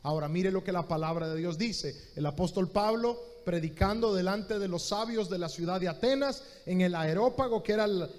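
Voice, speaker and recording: A man's voice: sits high, darkish tone, big-room sound. A Honduran man preaching a sermon as pastor, speaking directly to the listener and repeating key phrases. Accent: Honduran Spanish.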